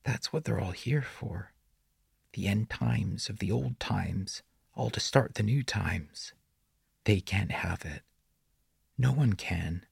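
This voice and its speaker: A man voicing a creepy, sinister young boy of the woods in a breathy, coaxing whisper for an audiobook horror story. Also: on the low side, takes it slowly, pauses often, no echo, studio quality, exaggerated voice. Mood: menacing.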